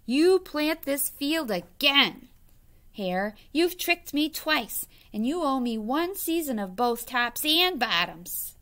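Woman as a slow, grumpy storybook bear. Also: high voice, big pitch swings, fairly bright tone, pitched above the performer's own voice, exaggerated voice. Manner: slow, drawn-out complaining. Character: sleepy, grumpy, gullible male bear.

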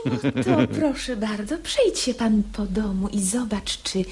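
An actress playing a shrewd married lady feigning reluctance in a radio verse comedy, coy and measured in theatrical delivery. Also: medium pitch, expressive, a little mellow, breathy edge. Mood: feigned surrender. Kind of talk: classical stage comedy radio acting in verse.

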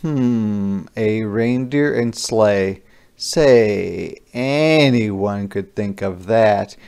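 A man reading a children's storybook aloud expressively and deliberately, with dramatic emphasis and drawn-out line endings. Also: on the low side, vocal fry, a little mellow, clear, resonant tone. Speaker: storybook reader.

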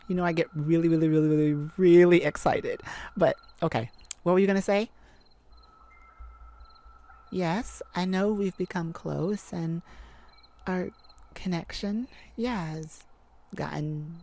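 An affectionate female mage, acted by a woman, speaks in a romantic ASMR audio drama. Her voice sits slightly low for a woman and moves slowly. She rambles and gushes, flustered and full of excitement for her sweetheart. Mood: tender. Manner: gushing, giggly, rambling, flustered.

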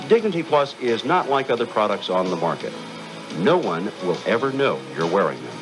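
Male ad announcer, medium pitch, animated scripted read, music bed. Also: low-quality recording. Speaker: commercial announcer. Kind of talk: scripted radio advertisement.

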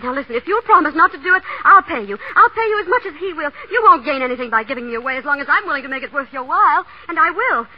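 Spoiled, indignant society girl in an old radio drama, high-pitched with sweeping intonation. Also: animated, racing, hardly any pauses. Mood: defensive. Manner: haughty, indignant, proper. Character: spoiled, haughty young runaway heiress. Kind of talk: 1930s radio acting. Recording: muffled 1930s radio broadcast.

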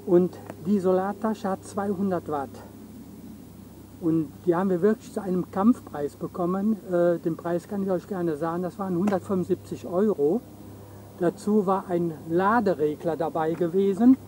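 High-voiced man explaining gear outdoors. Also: moderate pace, dark tone, slight background noise, poor audio. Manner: explanatory, chatty, gestures while talking. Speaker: camping vlog co-host. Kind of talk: casual product explanation. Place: outdoors on a campsite lawn beside a camper van. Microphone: camera mic from a few meters.

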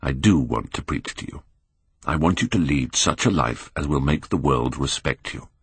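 A man acting a grave, earnest Victorian painter, deep-voiced. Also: animated, normal speed, a bit bright, husky, heavily acted. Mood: grave pleading. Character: earnest, anguished male Victorian painter, pleading and moralising.

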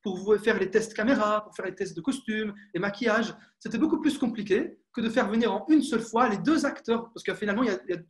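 A man's voice: high voice, quick pace, few pauses. A young man from France, a film director, talking about his work on a video call with many fillers and restarts, using an earphone mic in a small room at home. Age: young adult.

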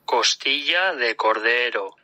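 A man's voice: mid-range voice, animated, a little slow, bright, forceful tone, no room sound, dull audio. A synthetic male voice in a language app, reading example phrases evenly.

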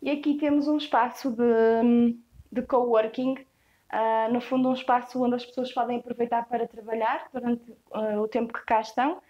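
A young woman with a European Portuguese accent gives a casual walkthrough tour of a rustic stone farmhouse. She describes each space in a relaxed way, with frequent hesitations. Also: fairly high pitch, normal speed, no room sound, highs cut off. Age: young adult. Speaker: property tour guide.

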